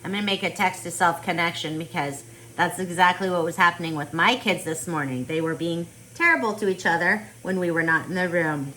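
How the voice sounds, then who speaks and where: A woman teaching on a video call, reading aloud and chatting with children in an expressive, encouraging way. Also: on the low side, brisk, a little hiss or hum, poor audio. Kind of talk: online storybook read-aloud with casual chat.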